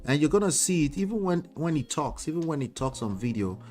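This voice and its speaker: A man's voice: a little high, big pitch swings, a little fast, a little mellow, faint music behind. A man with a Nigerian accent, a relationship coach giving casual commentary on viewer comments, speaking emphatically and often ending points with tag questions. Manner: emphatic, with frequent tag questions like "okay?" and "you know".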